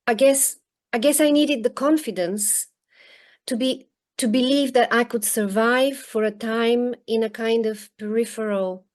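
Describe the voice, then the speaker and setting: A woman in her forties, from Bulgaria, giving thoughtful interview answers over a video call, pausing often with ums and restarts. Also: fairly high pitch, darkish tone. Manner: halting, many restarts and ums. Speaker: guest author. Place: home in rural northern countryside.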